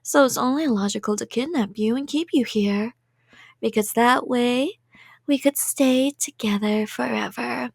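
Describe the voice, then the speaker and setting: High, sweet obsessive yandere girlfriend acted by a woman. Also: a little slow, creaky, no room sound, clean studio sound. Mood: dreamy, obsessed. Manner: sweet, rambling, playful, drifts into whispers. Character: cheerful, obsessive yandere woman, a doting delusional kidnapper. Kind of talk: ASMR roleplay monologue.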